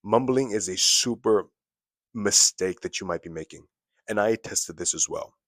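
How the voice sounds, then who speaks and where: A young man with a deep voice giving casual self-improvement advice to the camera, repeating phrases for emphasis. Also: moderate pace, fairly bright tone, no room sound, clean studio sound.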